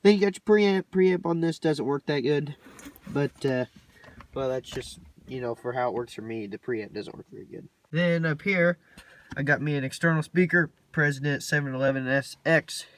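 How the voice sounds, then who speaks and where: A man's voice: on the high side, normal speed, fairly bright tone. A man giving a casual, hands-on walkthrough in a plainspoken, folksy way with colloquial grammar. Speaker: hobbyist presenter demonstrating his radio gear. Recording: distant mic, light background noise, clicks.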